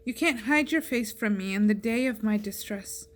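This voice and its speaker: A woman's voice: mid-range voice, moderate pace. A woman reading a psalm aloud as a scripture reader.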